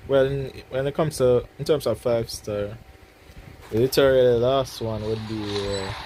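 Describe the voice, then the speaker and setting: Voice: medium pitch, measured pace, noisy background. A livestreamer chatting casually and a bit hesitantly while playing a game. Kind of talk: casual gaming livestream chat. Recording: loud game music behind. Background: game music.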